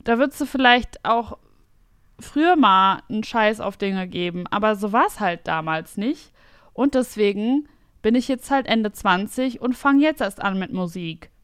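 A woman in her late twenties hosting a podcast, thinking out loud in casual chat with a reflective, self-mocking manner. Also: mid-range voice, expressive, unhurried, smooth, dry recording, studio quality. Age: late 20s. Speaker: podcast host.

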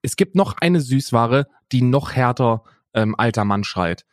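A man's voice: medium pitch, animated, a little slow, no room sound, studio quality. A man co-hosting a podcast in casual chat, rambling with many fillers and restarts, recorded over a remote call. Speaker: podcast co-host.

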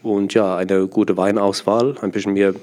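A man's voice: fairly low pitch, flat delivery, normal speed, a little mellow. A young man from South Africa, a native Afrikaans speaker with an Afrikaans accent, answering interview questions hesitantly as he searches for words in his second language. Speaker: interview guest, part-time sommelier.

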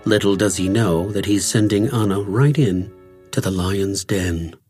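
A man's voice: on the low side, unhurried, darkish tone. A man reading dramatic scripted narration as the announcer of an audio drama. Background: faint music.

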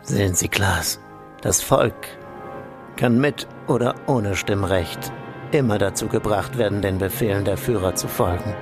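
An actor playing a cynical, scheming middle-aged intelligence official in a radio play, smooth and insinuating. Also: male voice, fairly low pitch, a little slow, over music. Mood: veiled menace. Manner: insinuating, falsely cordial. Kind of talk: German radio play acting.

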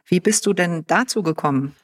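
A woman's voice: lowish voice, fairly quick, breathy edge. A woman hosting a corporate podcast and interviewing a guest. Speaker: podcast host.

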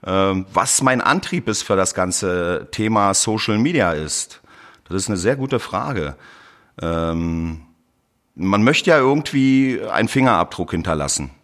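A man from Berlin speaks as a guest in an interview. His voice sits fairly low, and he explains his work in a conversational way, often pausing mid-thought to search for the next phrase. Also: expressive, a bit bright. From Berlin, Germany. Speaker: tradesman and social media creator, interview guest.

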